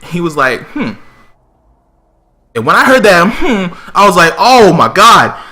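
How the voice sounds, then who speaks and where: A man's voice: high voice, sing-song, unhurried, pushed and bright. A man telling a personal story on a stream in a casual, slangy, profane way, acting out the quotes.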